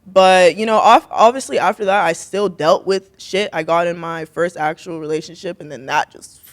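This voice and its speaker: A young adult woman with a deep voice, a podcast guest talking candidly and expressively in casual chat, swearing freely. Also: normal speed.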